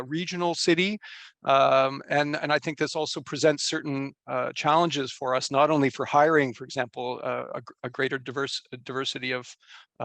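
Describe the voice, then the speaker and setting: A man speaks on a panel in a measured, academic way, often pausing on fillers and restarting his sentences. His voice sits at a middle pitch, and the room around him sounds dry with no echo. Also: fairly bright tone. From Canada. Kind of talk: panel discussion.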